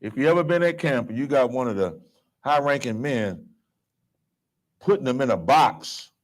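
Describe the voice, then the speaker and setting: A man's voice: medium pitch, expressive, moderate pace, poor audio. A man, leading a scripture class in a casual, coarse way and quizzing his listeners with "right?". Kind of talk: street-style scripture lecture.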